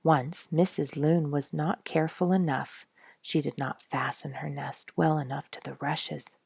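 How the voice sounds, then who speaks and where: A woman with a low voice and a dry, close sound reads a children's book aloud. She tells it expressively, leaning on key words with dramatic emphasis. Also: fairly even intonation, a little slow, vocal fry, darkish tone, husky, no echo. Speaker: storyteller reading a children's book.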